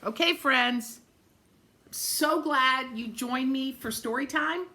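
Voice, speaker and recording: A woman reading a children's story aloud in an expressive, dramatic way, with chatty asides spoken directly to young listeners. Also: high-pitched, takes it slowly. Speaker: story-time reader for children.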